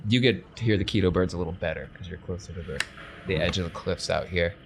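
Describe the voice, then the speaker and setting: A man's voice: low voice, fast, noisy background. A man narrating a tabletop game as game master in a casual, improvised, wry conversational way.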